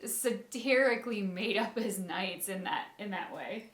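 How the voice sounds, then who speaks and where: A woman's voice: mid-range voice, a little slow, a bit bright. A woman co-hosting a casual reaction show, musing aloud and giving emphatic opinions on a story. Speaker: co-host of a game reaction show. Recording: echoey room.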